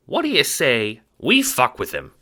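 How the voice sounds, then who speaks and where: A man's voice: mid-range voice, huge pitch swings, unhurried, sharp tone, slight background noise, pitched above the performer's own voice, full character voice. A man voicing a small, impish, gleeful and foul-mouthed sidekick in an audio drama. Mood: gleeful, mischievous.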